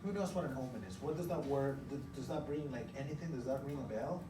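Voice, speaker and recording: A man's voice: medium pitch, fast talker, over music. Echoey, distant male teacher reading aloud. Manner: questioning, explaining as they go. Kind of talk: interactive storybook read-aloud. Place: classroom. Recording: echoey room, far from mic, loud noise.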